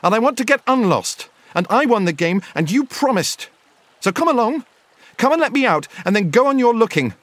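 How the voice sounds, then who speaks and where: A man's voice: very high-pitched, big pitch swings, a little fast, a bit bright, dry recording, voice pushed up, exaggerated voice. A man voicing a small, plucky, indignant storybook adventurer who calls out insistently. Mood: insistent, impatient. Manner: insistent, calling out.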